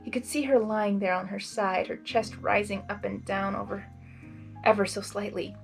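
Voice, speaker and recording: A woman reading a story expressively and breaking into casual, chatty, excitable reactions. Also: medium pitch, normal speed, gravelly, soft background music. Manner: excitable, giggly asides. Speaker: fan fiction reader and reactor.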